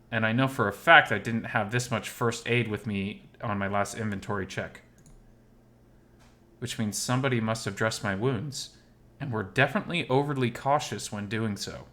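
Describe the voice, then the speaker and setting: A man's voice: medium pitch, sharp tone, lightly acted. Man voicing a tender, wistful furry visual novel hero. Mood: pained, puzzled. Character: Dorian, tender lovesick male furry animal character. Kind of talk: first-person visual novel narration.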